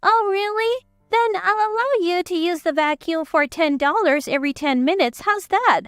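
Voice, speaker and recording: Storybook role: a woman doing a high, sharp-voiced bossy older mother-in-law, cold and contemptuous. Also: moderate pace, no room sound, studio quality. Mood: cold, commanding. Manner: commanding, contemptuous.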